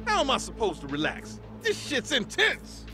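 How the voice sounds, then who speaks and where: A man playing a panicked, hot-headed young thug, yelling in an English video game dub. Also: extremely high pitch, wildly swooping pitch, moderate pace, over music, heavily acted. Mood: frantic, furious. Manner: shouting, agitated. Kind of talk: dramatic video game voice acting.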